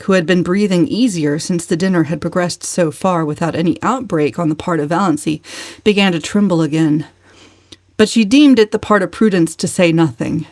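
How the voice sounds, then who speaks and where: A woman's voice: lowish voice, darkish tone, no room sound, clean studio sound. A woman giving a dramatic, scripted reading of a novel as its narrator. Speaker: audiobook narrator.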